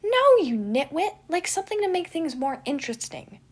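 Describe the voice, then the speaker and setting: A woman voicing a brash, mischievous young man, a crude and chaotic twin brother who exclaims and teases loudly in an audio story. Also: extremely high pitch, sing-song, normal speed, pitched above the performer's own voice. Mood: exasperated, scornful. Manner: loud, exclaiming, teasing.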